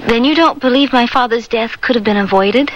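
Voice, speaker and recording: A woman's voice: on the high side, fairly quick, dull audio, a little hiss or hum, close to an everyday voice. An actress playing an anxious young married woman haunted by nightmares, earnest and fretful in a radio drama. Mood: anguished, confiding. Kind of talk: vintage radio drama acting. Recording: vintage radio drama with music.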